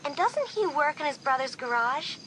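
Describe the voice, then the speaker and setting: Brief: a woman playing a no-nonsense teen girl, bright tense high voice. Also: sing-song, a little hiss or hum, low-quality recording. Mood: matter-of-fact. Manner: brisk, matter-of-fact. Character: practical-minded teenage schoolgirl.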